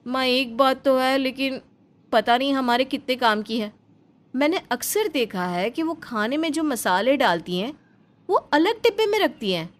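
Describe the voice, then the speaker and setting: Woman doing scheming young daughter-in-law, cartoon drama, high voice, emphatic and suspicious. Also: expressive, normal speed. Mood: suspicious, conspiratorial. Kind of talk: animated family story dialogue.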